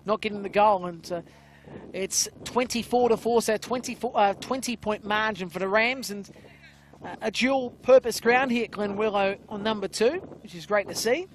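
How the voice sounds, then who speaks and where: Man, very high voice, loud play-by-play caller, filler-heavy, outdoor ground. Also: moderate pace, a little hiss or hum, poor audio. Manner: loud voice, calls play excitedly, many "uh" fillers. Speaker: play-by-play commentator. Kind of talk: live rugby league commentary.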